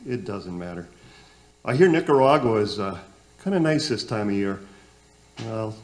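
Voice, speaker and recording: A man's voice: medium pitch, a little mellow, close to an everyday voice. A man playing a flustered radio show host in a comic radio play, folksy and hesitant as he glosses over trouble. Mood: furtive, deadpan.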